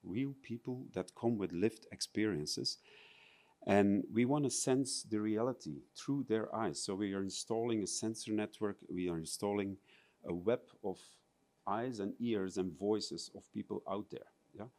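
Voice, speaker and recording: Low-voiced Belgian man presenting. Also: unhurried, a little mellow. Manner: hesitant, many fillers and restarts, non-native phrasing. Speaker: conference presenter. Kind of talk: conference presentation.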